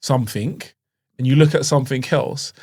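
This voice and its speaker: A man's voice: mid-range voice, normal speed, a little mellow. A young man, co-hosting a podcast and talking in a laid-back, reflective way with plenty of slang. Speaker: podcast co-host. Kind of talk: casual podcast chat.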